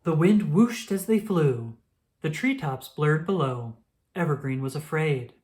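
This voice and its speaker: A woman reading a children's story aloud as scripted narration, in an expressive storytelling style. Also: low-pitched, a little slow.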